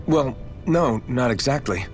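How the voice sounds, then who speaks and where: An actor playing an earnest young male rebel, loyal and quick to anger, in video game voice acting. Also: medium pitch, expressive, soft background music, natural voice. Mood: matter-of-fact.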